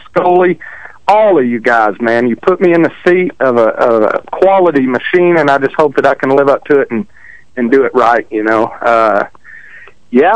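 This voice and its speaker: A man from Texas with a country accent, a drag racer telling stories in a relaxed, self-deprecating way over the phone. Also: medium pitch, dry recording, rough recording. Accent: Texan country. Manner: easygoing, self-deprecating storyteller. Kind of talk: casual interview chat. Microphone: phone call.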